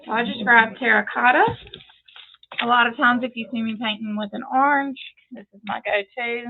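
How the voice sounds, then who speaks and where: A woman's voice: a little high, steady tone, moderate pace. A woman with a North Louisiana Southern accent, chatting casually as she demonstrates a painting craft. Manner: chatty, explains as she paints, frequent fillers. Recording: tinny phone-like sound.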